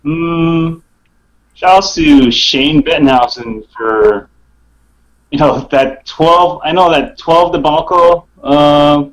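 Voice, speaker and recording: A man chatting casually as a podcast guest, in a halting, hesitant way. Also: fairly high pitch, rough recording. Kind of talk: casual live podcast chat.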